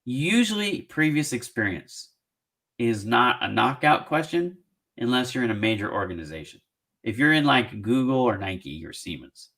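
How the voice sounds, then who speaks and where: A man hosting a relaxed live-stream Q&A about job hunting. His voice is bright and his pitch swings widely as he jokes and restarts his sentences, talking in a loose, chatty way. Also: gravelly. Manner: animated, joking, talks fast with restarts. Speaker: career advice show host.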